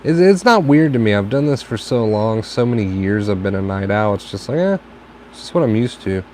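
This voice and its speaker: A tired man with a raspy, dry throat, giving calm advice on a casual live stream and repeating his points for emphasis. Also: lowish voice, fairly quick. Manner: reflective, repeats phrases for emphasis. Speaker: host answering viewer questions. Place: home stream from a tablet. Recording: faint background noise, lo-fi stream.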